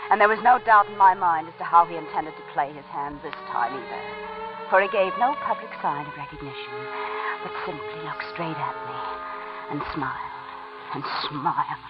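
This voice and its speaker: Guarded wife in muffled old radio. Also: female voice, low voice. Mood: brooding narration. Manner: terse, dry, controlled. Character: guarded, cool young wife with a secret past. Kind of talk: 1940s radio drama acting and narration.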